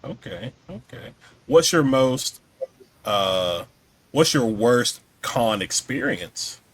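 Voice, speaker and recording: Man, average pitch, podcast host, casual interviewer, conversational. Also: animated, takes it slowly, breathy edge.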